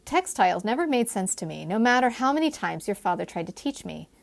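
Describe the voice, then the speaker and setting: A woman voicing a confident, teasing gentleman in a historical romance audiobook, with persuasive, formal phrasing. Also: medium pitch, very animated, fairly quick, vocal fry.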